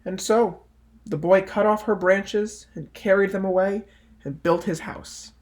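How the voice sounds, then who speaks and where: A man's voice: high-pitched, fairly even intonation, measured pace, darkish tone. Man reading a children's picture book. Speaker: storyteller reading aloud for a library.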